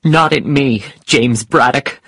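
A man's voice: fairly low pitch, moderate pace, gravelly, breathy edge, dry recording, full character voice. A man voicing a worried, emotional wife and mother who scolds, in an audiobook story. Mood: scolding.

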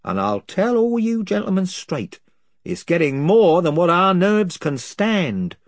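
A man's voice: sits high, big pitch swings, unhurried, dry recording, clean studio sound, pitched above the performer's own voice, heavily acted. A man doing a rambling rustic chief. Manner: rambling, long-winded, folksy. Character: fussy rustic male chief of invisible creatures. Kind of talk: audiobook character voice.

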